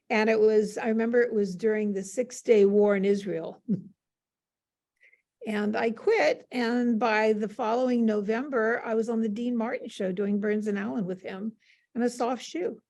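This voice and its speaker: Female, 70s, American, fairly high voice, blunt unfussy storyteller in relaxed interview. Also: unhurried. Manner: frank, matter-of-fact. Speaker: interview guest, former stage performer. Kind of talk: conversational reminiscing. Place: video call.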